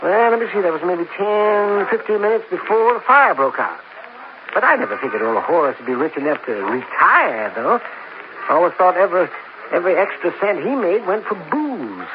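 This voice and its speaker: A man's voice: sits high, big pitch swings, moderate pace. An actor playing a chatty, gossipy lunchroom counterman in a 1950s radio drama, with a folksy, rambling drawl and chuckles. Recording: dull hissy old radio recording.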